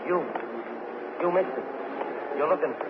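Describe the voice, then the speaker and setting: A man's voice: fairly high pitch, close to an everyday voice. An actor playing a self-pitying, cornered drunk man who stammers and repeats himself in an old radio crime drama. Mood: needling, weary. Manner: halting, repetitive, stammering, street slang. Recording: muffled, hissy old radio recording.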